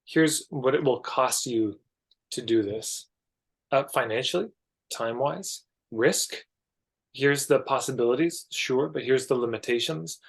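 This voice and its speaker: A man's voice: mid-range voice, measured pace, creaky, husky. Canadian man explaining ideas conversationally. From Alberta, Canada. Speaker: podcast guest, marketing coach.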